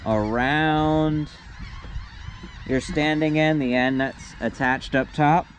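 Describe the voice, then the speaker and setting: A young man, a sailing instructor, calmly walking through tying a knot step by step, recorded outdoors on a boat deck. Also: on the high side, slow, a little hiss or hum. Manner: patient, step-by-step, plain-spoken. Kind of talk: hands-on knot-tying demonstration.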